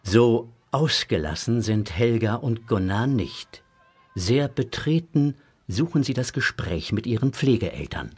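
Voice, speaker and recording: A man's voice: mid-range voice, big pitch swings, measured pace, darkish tone, husky. A man narrating a scripted audio story for children. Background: music.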